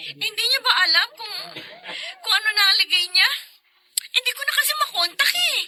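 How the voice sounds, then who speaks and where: A woman with a Filipino accent playing a worried, soft-hearted wife and elder sister in a radio drama. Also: extremely high pitch, animated, normal speed, exaggerated voice. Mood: anxious, tearful.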